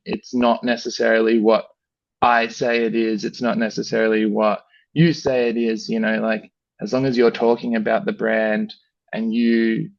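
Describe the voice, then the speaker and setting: Gravelly Australian man, flat and rambling. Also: mid-range voice, no echo, muffled recording. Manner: relaxed, rambling, heavy with fillers like "you know" and "like". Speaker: brand founder, guest. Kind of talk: casual interview chat.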